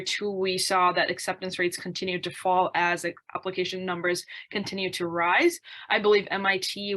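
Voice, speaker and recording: A young woman from Bangladesh, speaking as a podcast guest. Her voice is bright and sits low, and she explains things in an articulate, orderly way. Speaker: admissions coach and guest. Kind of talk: podcast interview.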